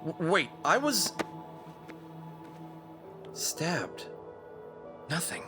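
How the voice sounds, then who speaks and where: A man plays a boyish, scatterbrained fox adventurer in a cartoon audio drama. His voice is highish and very lively, with dramatic delivery and faint music underneath. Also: very slow, full character voice. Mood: puzzled. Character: young male fox adventurer, earnest, cocky, scatterbrained.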